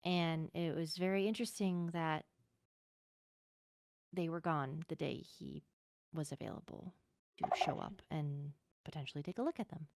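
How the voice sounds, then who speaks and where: A woman playing an earnest, hesitant fantasy townsperson in an improvised roleplay, speaking in halting, careful phrases. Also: sits low, steady tone, creaky, a little mellow. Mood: puzzled, pointed. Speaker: roleplay player acting as a witness. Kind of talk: improvised in-character roleplay dialogue.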